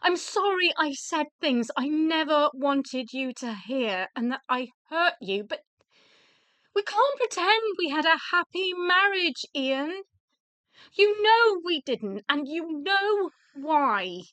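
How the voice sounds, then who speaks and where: An actress playing a weary, wounded wife in a radio play, candid and sardonic, hesitant at times and then emphatic. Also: female voice, high voice, very animated, slow pace, a bit bright, dry recording, full character voice. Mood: exasperated.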